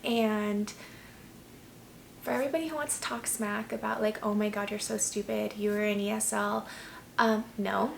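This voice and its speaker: Gravelly-voiced young woman, casual and chatty. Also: medium pitch, steady tone, normal speed, a little hiss or hum. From United States. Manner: candid, chatty, many "like"s and "um"s. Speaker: vlogger. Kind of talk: casual personal storytelling.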